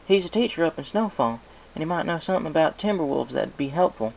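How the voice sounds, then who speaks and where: A man's voice: on the high side, lively intonation, fast, vocal fry, a little mellow, a little hiss or hum, voice pushed up, rough recording. A man voicing a plain-spoken, practical female country pony with a blunt, folksy manner. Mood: matter-of-fact.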